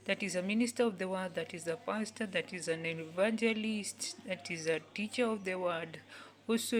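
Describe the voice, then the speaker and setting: A woman, giving an earnest motivational talk in which she repeats each phrase several times and sometimes drops to a soft whisper. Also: mid-range voice, rough recording. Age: 20s. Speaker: youth speaker.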